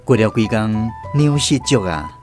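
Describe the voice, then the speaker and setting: A man's voice: lowish voice, animated, unhurried, over music. A man with a Taiwanese accent reading scripted story narration for a radio drama.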